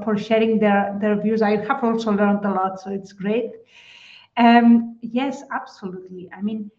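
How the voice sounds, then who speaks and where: A woman with a Spanish accent speaking as a panelist in an online discussion, thoughtful, with many small restarts. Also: medium pitch. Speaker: webinar panelist. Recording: echoey dull webinar audio.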